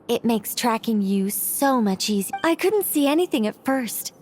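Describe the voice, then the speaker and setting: A woman voicing an earnest, articulate young female guide in a video game, polite and expressive. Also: a little high, normal speed, slight background noise, lightly acted. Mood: composed, helpful.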